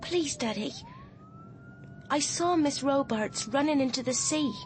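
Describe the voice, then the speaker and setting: A woman's voice: high voice, animated, unhurried, a little hiss or hum. An actress playing a frightened, insistent young girl confessing in a radio drama. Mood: urgent, confessing.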